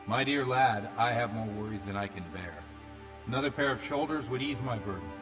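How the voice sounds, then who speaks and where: A man's voice: mid-range voice, brisk, natural voice. Man acting earnest priest in long reverb. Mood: weary, kindly. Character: earnest, fretful, paternal village priest. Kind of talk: dramatic video game acting. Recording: long hall-like reverb under music.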